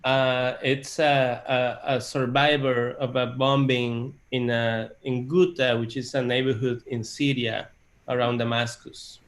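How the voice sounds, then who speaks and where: Halting man, searching for words. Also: medium pitch, level tone. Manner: hesitant, many fillers, searching for words. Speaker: composer, guest. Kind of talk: casual interview talk.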